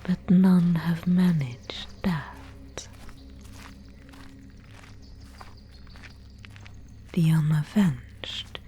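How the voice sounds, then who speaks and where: A woman playing a mysterious, solemn guide of a gloomy fantasy realm in an ASMR roleplay, whispering breathily and close to the microphone with deliberate pauses. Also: fairly low pitch, husky, full character voice. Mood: ominous, guiding. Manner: slow, hushed, solemn storytelling with deliberate pauses.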